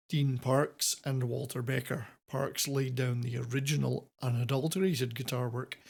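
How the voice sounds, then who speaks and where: A man's voice: mid-range voice, creaky. A man hosting a semi-scripted countdown commentary on classic rock, with wordy, playfully florid presenter phrasing. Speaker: host of a classic rock video channel.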